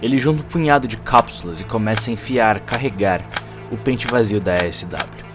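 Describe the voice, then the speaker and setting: Low-pitched Brazilian male story narrator. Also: normal speed. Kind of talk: dramatic scripted story narration. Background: faint music.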